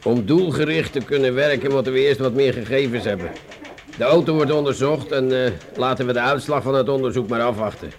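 A man with a Netherlands Dutch accent playing a condescending, weary police inspector in a radio play, sardonic and deliberate, with stammered hesitations. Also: medium pitch, close to an everyday voice. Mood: deliberate, dismissive.

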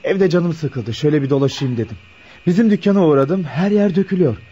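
A man's voice: fairly high pitch, fast talker, mellow, husky. An actor playing an affectionate, teasing young man back from military service in a radio play. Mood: easygoing, earnest. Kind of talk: naturalistic radio play dialogue. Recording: dull lo-fi radio play recording.

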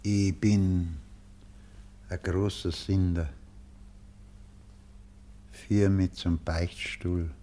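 Very slow, dark-voiced penitent. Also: male voice, low voice, flat delivery. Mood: penitent, grave. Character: grave, penitent male sinner seeking confession. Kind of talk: radio play acting.

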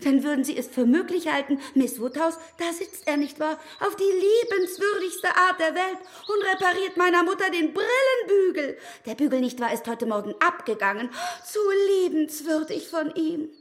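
An actress playing a chatty, effusive middle-aged spinster in a radio drama, rambling breathlessly with gushing politeness. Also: female voice, very high voice, moderate pace, few pauses. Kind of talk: German radio drama acting.

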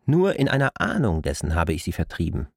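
A man's voice: deep voice, huge pitch swings, a little fast, vocal fry, no echo, studio quality, exaggerated voice. An actor playing a jealous, reproachful male lover who argues stubbornly in a literary radio play. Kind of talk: literary radio drama acting.

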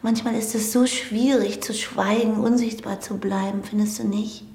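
An actress playing a young woman doctor in a radio drama, a hesitant, softly insistent admirer who quietly yearns. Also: mid-range voice, fairly even intonation, normal speed, reverberant, lightly acted. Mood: wistful, probing. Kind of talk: naturalistic radio drama acting.

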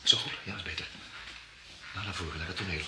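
A man acting in an old radio play, talking quietly and half-whispering while he searches in the dark. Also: sits low, fast talker, heavy background noise. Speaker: radio drama actor.

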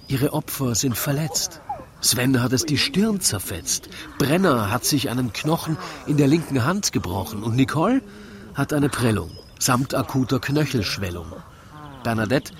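A man reading a comic rhymed narration in a wry, theatrical, measured way. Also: medium pitch. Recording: lively crowd chatter behind. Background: crowd murmur.

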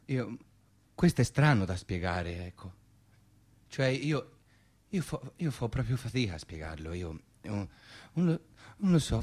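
Husky Tuscan grumbler, blunt, muddled, widely swinging pitch, comic radio play. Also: male voice. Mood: hesitant, muddled. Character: ranting, xenophobic Italian everyman, blunt and muddled.

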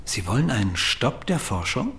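A jumpy older professor with a gravelly edge to his voice. His pitch leaps and dips widely as he protests, then lowers into a secretive tone when he confides. The voice comes from a radio drama. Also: moderate pace. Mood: uneasy. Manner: indignant, excitable, then confiding. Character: nervous, self-pitying older male professor. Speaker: brain researcher.

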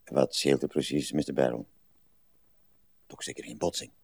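An actor playing a courteous, steady gentleman in a radio play, formal and reassuring but insistent. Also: rumbling low, moderate pace, gravelly, breathy edge, full character voice. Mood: soothing.

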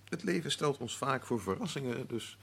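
An actor playing a courteous, smooth-talking gentleman murderer in a radio play, polite and quietly menacing, with music behind him. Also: medium pitch, very animated, normal speed, full character voice. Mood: suavely polite.

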